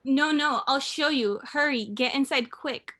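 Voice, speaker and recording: A woman's voice: sits high, unhurried, a bit bright. A woman playing a frightened wife and mother in a radio drama, pleading and repeating herself, desperate to hide. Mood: urgent, frantic.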